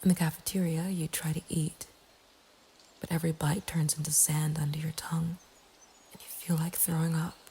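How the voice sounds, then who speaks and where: A woman narrating a scary story in a breathy, hushed ASMR whisper, slow and deliberate. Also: sits low, level tone, slight background noise. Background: nature ambience.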